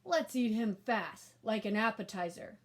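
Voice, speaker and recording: A menacing, hungry stove monster from a storybook, acted out by a woman. The delivery is loud and drawn out, relishing the threat. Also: mid-range voice, a little slow, lightly acted.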